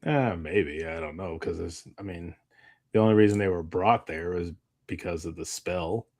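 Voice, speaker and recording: A man with a fairly low voice talks casually as a podcast co-host. He rambles in a halting way, often dropping a sentence midway and starting it again. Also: a little mellow. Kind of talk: casual chat.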